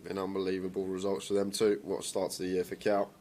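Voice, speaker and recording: A man giving relaxed, conversational poker commentary on a live stream and greeting viewers in the chat. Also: deep voice, fairly quick, slight background noise.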